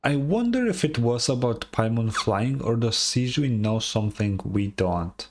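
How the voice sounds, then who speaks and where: A woman's voice: a bass voice, expressive, a little slow, darkish tone, slight background noise. A woman casually reacting to a video game as a streamer, sounding tired and hesitant, muttering her comments.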